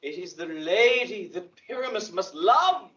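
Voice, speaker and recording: An actor playing an officious organizer of amateur players, deliberate and emphatic, in a Shakespeare audio drama. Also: male voice, high voice, lively intonation, a bit bright. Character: male carpenter directing an amateur play, officious organizer. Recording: dull lo-fi audio drama playback, distant.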